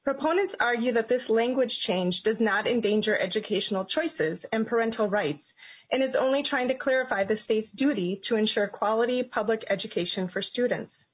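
A woman reading prepared testimony before a legislative committee in a formal, measured way. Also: fairly high pitch, slightly rough, rough recording. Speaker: citizen giving testimony.

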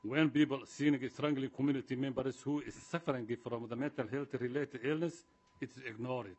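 A man giving prepared public testimony in a formal, halting way. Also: mid-range voice, fairly even intonation, a little mellow, husky. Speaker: community association representative giving testimony.

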